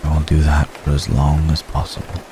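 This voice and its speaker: A man playing an ancient, gentle, courtly vampire in an intimate ASMR roleplay, speaking tenderly in measured, formal phrases with hesitant pauses. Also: very deep voice, noisy background. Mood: earnest, confiding. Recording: close recording with steady rain ambience.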